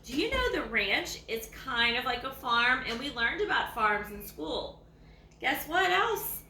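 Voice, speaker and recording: A woman with a Southern US accent reads a picture book to young children. Her voice is high and bright, and she explains things with questions in a child-directed way. The room is echoey, with faint background noise. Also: sing-song, measured pace, far from the mic. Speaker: teacher reading to young children. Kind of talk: children's story read-aloud.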